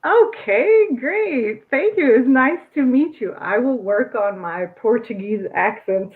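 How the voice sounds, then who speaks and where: A woman's voice: fairly high pitch, animated, takes it slowly, phone-quality sound. An American woman, an ESL teacher, leading a live conversation lesson and coaching a student's pronunciation. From United States. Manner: encouraging, corrects pronunciation.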